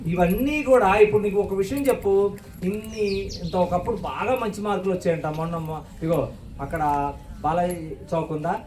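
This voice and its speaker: High-voiced man hosting in an echoey hall. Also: warm tone, a little hiss or hum. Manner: exclaiming, questioning, echoing replies back. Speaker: puppet show host. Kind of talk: animated live children's show banter. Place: indoor church hall. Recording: echoey hall, distant from microphone.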